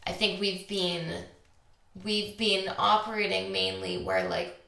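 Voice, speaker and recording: Slightly raspy, creaky-voiced woman podcaster. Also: mid-range voice, fairly even intonation, bright, forceful tone. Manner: confiding, unscripted, reflective with drawn-out pauses. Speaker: podcast host. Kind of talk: intimate solo spiritual podcast talk. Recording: echoey, roomy sound.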